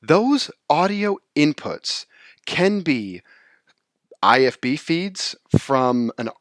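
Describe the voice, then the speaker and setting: Man giving an informal, closely recorded software tutorial. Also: medium pitch, no echo, clean studio sound. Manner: explanatory, halting, rephrases as he goes. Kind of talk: informal screen-share tutorial, unscripted explanation.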